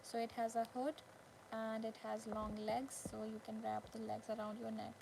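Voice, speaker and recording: A woman hosting a casual craft livestream, chatting with viewers in short, halting phrases with pauses. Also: fairly high pitch, monotone. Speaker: live stream host, crocheter. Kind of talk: casual livestream chat with viewers, showing handmade work. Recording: clearly noisy background ambience.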